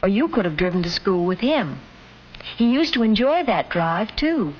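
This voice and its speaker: A woman's voice: medium pitch, big pitch swings, normal speed, slight background noise. A woman reading scripted narration for a 1950s educational film, addressing the listener directly in an admonishing way. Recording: dull vintage film soundtrack with music. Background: film score music.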